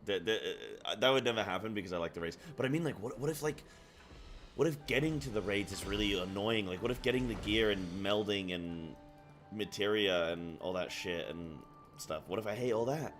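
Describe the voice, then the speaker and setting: A man streaming from his apartment, chatting casually, thinking aloud and reading chat aloud. He has a deep, carrying voice and talks loudly by habit. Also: a little fast, soft background music. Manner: loud by habit. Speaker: live streamer. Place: apartment room at home.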